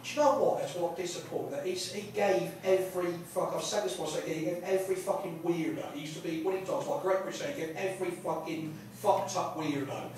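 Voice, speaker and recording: A man with an English accent doing rambling, sweary stand-up, halting and repeating himself as he digresses in front of a live audience. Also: a little high, fairly even intonation, darkish tone. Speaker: stand-up comedian. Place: small live comedy venue in a pub. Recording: roomy, noisy, distant live club recording. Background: audience laughter and room noise.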